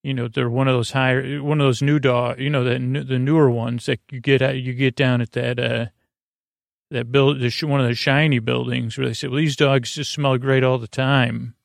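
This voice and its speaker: A man hosting a bedtime podcast in a gravelly, creaky voice, meandering and halting as he drifts off on tangents. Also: mid-range voice, level tone, no room sound, studio quality. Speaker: podcast host. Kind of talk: rambling bedtime podcast monologue.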